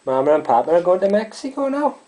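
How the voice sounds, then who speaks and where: Woman, very low pitch, dark mellow tone, casual questions. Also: sing-song, fast talker, low-quality recording. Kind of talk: casual chat.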